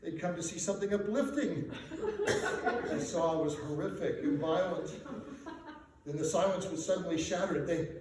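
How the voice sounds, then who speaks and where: A man performing a dramatic recitation of comic rhyming verse for an audience, in a theatrical, measured delivery with emphatic swells. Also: sits high, animated. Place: live performance before a laughing audience in a reverberant room. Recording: echoey room, distant mic, faint noise.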